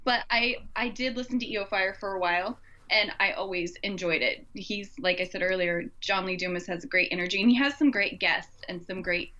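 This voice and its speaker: A woman's voice: medium pitch, a little fast, bright, forceful tone, dull audio. A woman co-hosting a podcast in a casual, agreeable and chatty way. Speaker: podcast co-host.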